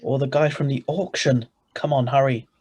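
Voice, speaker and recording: A man as a wry, husky, stubborn husband. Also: medium pitch, steady tone, moderate pace, a little mellow, natural voice. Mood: hushed, hurried. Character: stubborn, greedy ordinary husband, wry and brisk. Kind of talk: radio thriller narration and dialogue.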